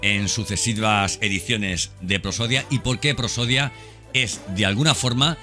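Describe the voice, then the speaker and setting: A man's voice: fairly low pitch, moderate pace, bright, forceful tone. A man hosting a podcast in an informal, unscripted monologue, talking directly to the listener and repeating phrases for emphasis. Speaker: podcast host. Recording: background music under the voice. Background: background music.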